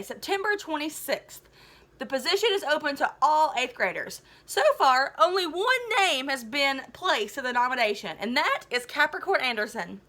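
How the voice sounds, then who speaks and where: Woman as a high-voiced, formal school PA announcer. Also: pushed and bright. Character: male school official making PA announcements, formal.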